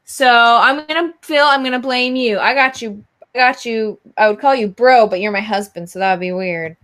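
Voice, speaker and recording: A woman's voice: fairly high pitch, moderate pace, fairly bright tone. A woman co-hosting a live show in a casual, chatty, teasing way. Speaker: co-host of a business live show. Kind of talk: casual chat. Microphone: live video call.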